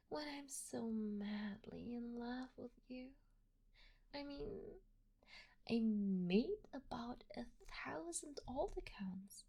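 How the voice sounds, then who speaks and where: Woman doing a lovestruck yandere girl kidnapper for asmr, slow n breathy, higher voice, whispery, doting and way too possessive. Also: full character voice. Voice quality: breathy, frequently dropping into whispers. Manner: soft, coaxing, slow, with hesitant pauses. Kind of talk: ASMR roleplay, intimate one-sided address to the listener.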